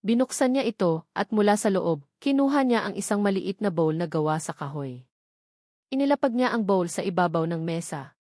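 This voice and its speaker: Woman, story narrator, dramatic reading, sentimental, deliberate, mid-pitched, very little room sound. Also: animated, mellow, dry recording, studio quality. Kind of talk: dramatic scripted narration of a Tagalog love story.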